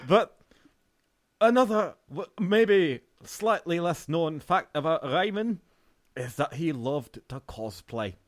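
Slow, pompous expert, a man playing him. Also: on the high side, lively intonation, dry recording, clean studio sound. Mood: reverent. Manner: affected, pompous, rambling. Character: pompous, rambling male expert, absurdly reverent. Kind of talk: mock documentary interview, improvised.

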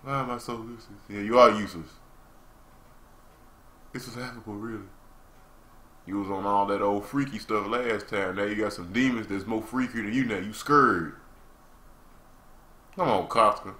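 A young man giving casual, slangy, excitable live reaction commentary on anime as the host. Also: on the low side, normal speed, long pauses. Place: small room indoors. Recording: roomy, echoing home recording.

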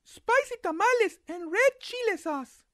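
A man's voice: very high-pitched, lively intonation, a little slow, fairly bright tone, no room sound, clean studio sound, pitched above the performer's own voice, heavily acted. A man voicing a polite, wary little girl from a storybook, in a careful sing-song manner.

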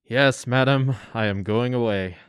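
A man playing a gentle, courteous stranger in a radio drama, speaking in a measured way. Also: medium pitch, smooth, dry recording, studio quality, natural voice. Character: gentle, kindly male stranger, a traveler.

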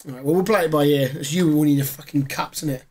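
This is a hoarse man, fairly high for a man, chatting casually on a gaming podcast. He rambles with fillers, recorded on a desk mic in a small home room. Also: fast, a little mellow. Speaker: podcast co-host.

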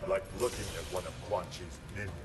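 Casting: male actor as cold commanding warrior, deep voice, distant under loud music, video game. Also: steady tone, quick pace, close to an everyday voice. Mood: stern. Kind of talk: video game character dialogue.

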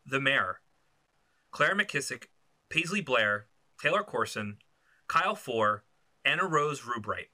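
A man's voice: medium pitch, big pitch swings, slow, pauses often, sharp tone. A man in his thirties giving a scripted presentation to camera and announcing award nominees. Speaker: video production company owner, award presenter. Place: small indoor editing room.

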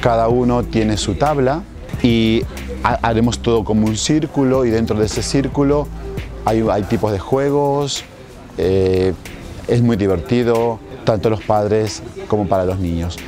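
A man's voice: fairly low pitch, unhurried. A man in his forties, a fitness instructor presenting classes informally to camera outdoors. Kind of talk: informal promotional talk to camera. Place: outdoors at a seaside marina. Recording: music playing behind the voice.

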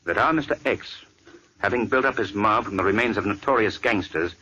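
An actor playing a calm, confident gentleman crime-fighter with a smooth, assured delivery, in 1940s radio acting. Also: fairly low pitch, brisk, poor audio. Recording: old radio recording with faint hiss.

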